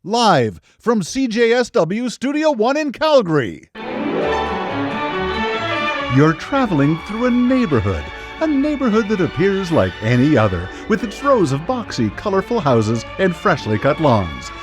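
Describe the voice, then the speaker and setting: A man's voice: high voice, huge pitch swings, measured pace, music in the background, exaggerated voice. A man playing an eerie, ominous narrator of a live radio anthology drama, delivering dramatic scripted narration. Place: radio studio, live broadcast.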